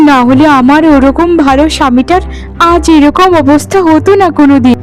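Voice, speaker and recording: A woman voicing a scolding, bitter elder co-wife in an animated folk tale, with a melodramatic delivery. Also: high voice, close to an everyday voice, poor audio. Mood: wailing, distraught.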